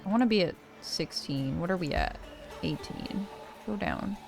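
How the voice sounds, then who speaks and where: A woman's voice: on the low side, animated, moderate pace, gravelly. Young gamer girl chatting n reading along, playful, faint game music behind. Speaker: gaming host. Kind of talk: casual let's play commentary, reading story text aloud.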